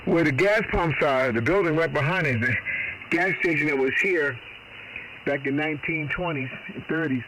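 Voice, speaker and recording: A man's voice: on the high side, normal speed. Man chatting over noisy ham radio. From Boston, United States. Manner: folksy, rambling, frequent "man" and "you know". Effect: heard over shortwave ham radio. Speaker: amateur radio operator. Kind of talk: casual radio chat. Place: home radio shack. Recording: noisy shortwave radio transmission. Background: radio static.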